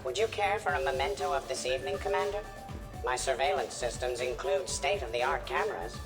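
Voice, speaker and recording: A helpful male machine assistant from a video game. It speaks in formal, courteous phrases with lively pitch and a creaky edge, while music plays behind. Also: brisk, low-quality recording. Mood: courteous, attentive. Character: polite, helpful male robotic drone assistant. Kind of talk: video game character performance. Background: prominent music.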